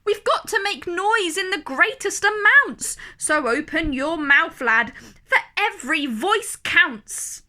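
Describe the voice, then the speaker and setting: A woman's voice: extremely high pitch, lively intonation, slow pace, bright, forceful tone, heavily acted. A woman voicing a tiny, earnest male mayor from a storybook, declaiming urgently. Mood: solemn, rousing.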